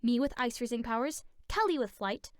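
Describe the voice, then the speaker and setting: A woman's voice: a little high, expressive, normal speed, no echo, clean studio sound, close to an everyday voice. A woman voicing a conscientious, chatty superhero girl who explains things earnestly in a cartoon. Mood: earnest explaining. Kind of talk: cartoon voice acting.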